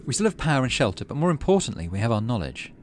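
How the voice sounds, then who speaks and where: A man's voice: medium pitch, very animated, rapid-fire, slight background noise, close to an everyday voice. A man voicing a stoic, resolute female engineer in a sci-fi game in a matter-of-fact way.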